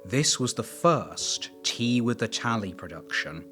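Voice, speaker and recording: A man's voice: medium pitch, animated, unhurried, a bit bright, soft background music. A man narrating a scripted documentary as its host.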